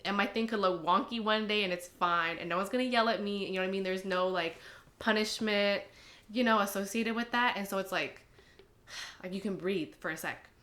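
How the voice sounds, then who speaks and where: A woman's voice: medium pitch, a little fast, fairly bright tone. A young American woman in casual, reflective conversation, speaking informally with lots of "like" and "you know.". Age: young adult. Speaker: anonymous testimony participant.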